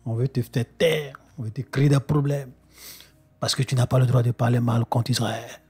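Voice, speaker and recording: A man's voice: mid-range voice, flat delivery, normal speed, a little mellow, breathy edge, soft background music. A man with a cold preaching to his brothers and sisters in an earnest spoken sermon, often restarting his phrases. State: congested with a cold.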